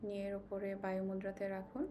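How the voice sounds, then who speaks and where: A woman leads a guided meditation in a mid-pitched, very even voice. She gives calm, slow instructions and leaves long pauses between them. Clear ambient noise sits behind her voice.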